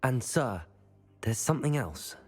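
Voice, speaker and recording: A man's voice: medium pitch, big pitch swings, unhurried, a little mellow, husky, soft background music. A young man voicing a polite, inquisitive wizard student in a fantasy video game. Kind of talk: scripted game dialogue.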